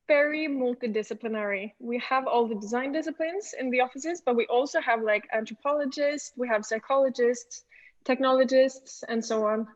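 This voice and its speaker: Woman presenting, slightly high voice. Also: dull audio. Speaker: service design team lead. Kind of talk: presenting company intro.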